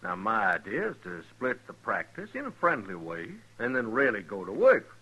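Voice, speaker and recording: An actor playing a slick, self-righteous frontier doctor in a 1950s radio western, husky and easily indignant. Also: male voice, on the low side, big pitch swings, moderate pace, bright, forceful tone, exaggerated voice. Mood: smooth, self-justifying. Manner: smooth-talking, indignant, huffy. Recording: dull old 1950s radio recording.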